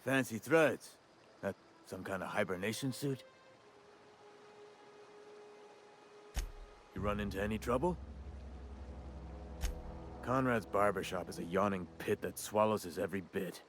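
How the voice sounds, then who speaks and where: An actor playing a sly, cheerfully crooked small-town schemer in a video game, folksy, slangy and insinuating. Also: male voice, fairly low pitch, normal speed, pauses often, a bit bright, a little hiss or hum, lightly acted. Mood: nosy. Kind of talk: video game character dialogue.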